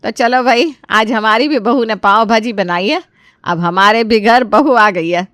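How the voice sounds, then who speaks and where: A woman's voice: medium pitch, moderate pace, a bit bright, no echo, studio quality, natural voice. A woman voicing a theatrical, exasperated middle-aged Indian mother-in-law in a cartoon story. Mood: proud. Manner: exasperated, dramatic, scolding.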